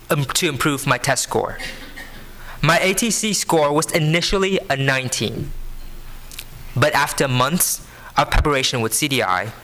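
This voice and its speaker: A man in his early twenties with a Vietnamese accent, giving an earnest prepared speech to an audience, phrasing deliberately. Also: fairly high pitch, sharp tone, a little hiss or hum. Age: early 20s. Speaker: scholarship program student speaker. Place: live benefit event before an audience.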